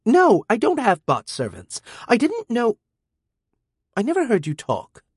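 A male reader voicing a defiant teenage girl in an audiobook, pitching up into a higher, sharper voice that sounds sulky and scornful. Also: huge pitch swings, a little slow, darkish tone, slightly rough, no echo, studio quality, full character voice.

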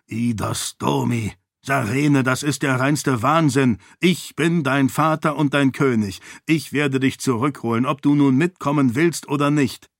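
A man's voice: mid-range voice, unhurried, vocal fry, no room sound, clean studio sound, pitched above the performer's own voice. A man voicing a protective, headstrong king and father in a fantasy audiobook. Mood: stern.

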